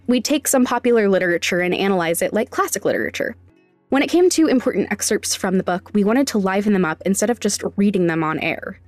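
A young woman presents her podcast in a conversational, scripted style at a middle pitch. She is candid and informal, with a wry, self-deprecating streak. The voice is recorded close and dry, with faint music behind it. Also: no echo. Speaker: podcast host and sound designer.

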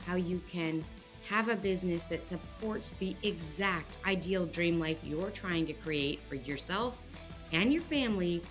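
A woman's voice: lowish voice, a bit bright. A woman in her thirties, from Canada, hosting a podcast in an upbeat, enthusiastic way. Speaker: podcast host. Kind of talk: podcast hosting and interview chat. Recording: light music behind.

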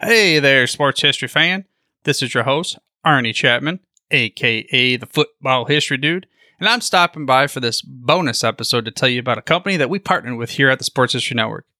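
A man's voice: mid-range voice, expressive, brisk, bright, forceful tone, no room sound, clean studio sound. A man hosting a podcast, reading a sponsor spot casually with folksy, emphatic enthusiasm. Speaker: podcast host.

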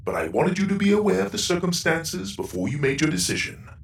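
A man voicing a polite, formal male robot who speaks in a precise, measured way. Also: high voice, sing-song, gravelly, echoey room, voice pushed up, heavily acted.

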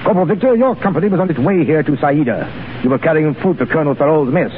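An actor playing a gruff, easily amused Foreign Legion commandant in 1930s radio style, barking out clipped questions. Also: male voice, fairly high pitch, lively intonation, fast talker, narrow, tinny audio, lightly acted. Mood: brusque. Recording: thin, noisy 1930s radio recording.

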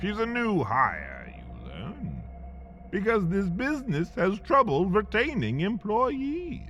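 Male storyteller, unhurried and hugely animated, theatrical with dry wit, for scripted comedy; slightly dull sound over faint ambience. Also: high voice, slight background noise. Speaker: podcast host and narrator. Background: faint ambient sound effects.

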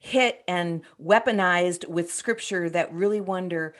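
A woman's voice: on the low side, measured pace. A woman in her forties, an ordained pastor hosting a faith podcast interview, earnest and asking leading questions.

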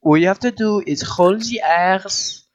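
A woman's voice: mid-range voice, measured pace, lo-fi sound, close to an everyday voice. A woman voicing an ageless twelve-year-old French boy with a put-on French accent, pompous and fussy about how his name is said. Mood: indignant. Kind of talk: improvised comedy podcast character.

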